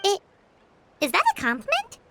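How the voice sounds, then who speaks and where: A woman's voice: very high-pitched, wildly swooping pitch, moderate pace, heavily acted. A woman voicing a tiny childlike flying girl in a video game, perky, cheeky and easily flustered. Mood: teasing, surprised. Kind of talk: video game character acting. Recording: noisy game audio.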